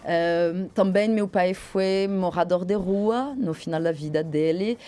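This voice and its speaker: French woman, mellow, halting radio guest. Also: lowish voice. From France. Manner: reflective, with frequent hesitations. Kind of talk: radio interview.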